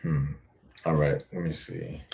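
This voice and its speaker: A man's voice: rumbling low, sing-song, a little slow, vocal fry, a little mellow, a little hiss or hum. A young man hosting a live Q&A, chatting casually and frankly, reading viewer comments and swearing now and then. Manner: laid-back, rambling, frank with casual swearing. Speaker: boyfriend-audio creator hosting a live Q&A.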